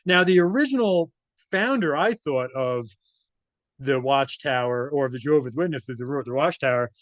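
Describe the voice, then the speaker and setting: A man's voice: mid-range voice, expressive, normal speed, no echo. A man reading documents aloud and adding informal, conversational off-the-cuff commentary, often checking in with tag questions. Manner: conversational, often tags statements with "right?".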